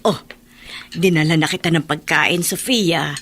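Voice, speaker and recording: A woman's voice: sits low, a little slow, fairly bright tone, slight background noise. A woman with a Filipino accent playing a deferential older household helper in a radio drama, folksy and fretful. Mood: chatty, cheerful.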